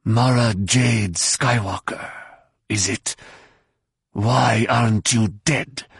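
Alien villain, very raspy growl, drawn-out delivery, contemptuous, menacing, audiobook. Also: male voice, fairly low pitch, fairly even intonation, no echo, clean studio sound, full character voice. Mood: cold contempt. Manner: slow, menacing, sneering.

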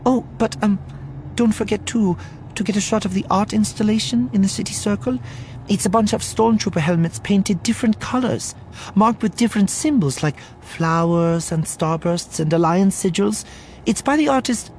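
Audiobook role: anxious, earnest little alien publicist woman, read by a man, high-pitched, polite and eager. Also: moderate pace, a little mellow, slight background noise. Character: small, earnest, nervous alien woman public relations representative. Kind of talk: audiobook character voice.

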